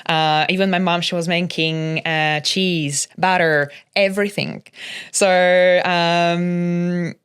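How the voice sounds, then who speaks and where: A woman's voice: on the low side, steady tone, takes it slowly, a bit bright, smooth. A woman from Slovakia with a Slovak accent, a native Slovak speaker, telling her personal story casually as a podcast guest.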